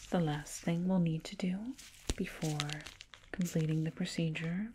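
A woman voicing a soothing, condescending alien dentist in a breathy whispered ASMR roleplay, close to a binaural microphone. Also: on the low side, fairly even intonation, dark tone, slight background noise, natural voice. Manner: slow, soothing, murmured repetitions. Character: condescending, soothing alien dentist, fussing over a little human.

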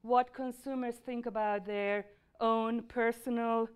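A middle-aged Hungarian-accented woman gives a lecture presentation in a university lecture room. Her voice sits a little high and sounds smooth and clear. She explains steadily and fills her pauses with frequent ums and uhs. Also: fairly even intonation. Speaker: market research presenter.

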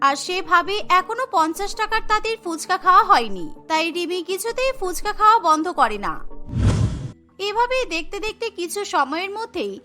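A woman narrates a children's cartoon story from a script. Her voice is extremely high and bright, set over faint music. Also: very animated, fairly quick.

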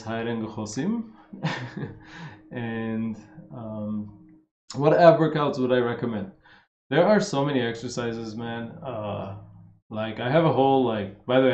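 A man's voice: mid-range voice, gravelly, roomy sound, dull audio. Laid-back man, slight Armenian accent. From Los Angeles, USA. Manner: relaxed and rambling, with many fillers and swearing. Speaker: fitness coach answering live chat questions. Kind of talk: casual live-stream Q&A.

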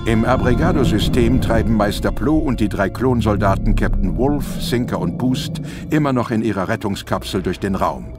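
A man's voice: on the low side, expressive, moderate pace, over loud music. A man reading scripted narration for an audio drama.

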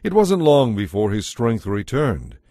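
A man narrating a dramatized Bible as scripted storytelling, in a solemn, measured manner. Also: medium pitch, huge pitch swings, warm tone, no room sound, studio quality.

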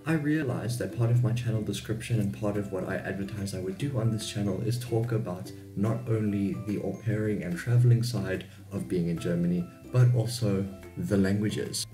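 A man's voice: lowish voice, normal speed, hardly any pauses, over music. A man in his twenties with a South African accent, giving a chatty, informal explainer to camera about languages. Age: 20s. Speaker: YouTube host. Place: small home bedroom.

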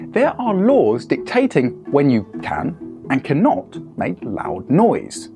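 A young British man hosting a scripted explainer, talking in a playful, emphatic way with wry asides, on a lapel mic in a studio. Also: a little high. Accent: British English. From United Kingdom. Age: young adult. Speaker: host. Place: studio in front of a screen. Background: background music.

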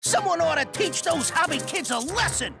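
A man voicing a scheming, bossy rat gang leader in a cartoon, with a drawn-out sneer. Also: extremely high pitch, expressive, gravelly, music in the background, heavily acted. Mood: scheming, indignant. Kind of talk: cartoon voice acting.

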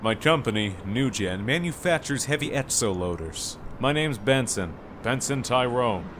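A flustered male business owner, acted by a man, self-important and emphatic, with a very animated, swinging pitch. Outdoor street noise is clear behind this audio drama voice. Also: normal speed, lightly acted. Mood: explaining.

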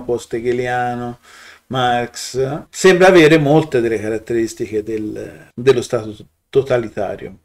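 A man, giving a reflective commentary to his webcam with frequent pauses, fillers and restarts. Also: mid-range voice. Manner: hesitant, many fillers and restarts, thoughtful. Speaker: video blogger.

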